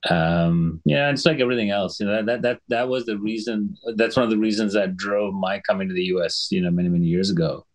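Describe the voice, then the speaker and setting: Middle-aged guy from sri lanka, really low voice, explains stuff carefully, keeps clearing his throat. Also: fast talker, few pauses. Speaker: guest physician. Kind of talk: conversational podcast interview.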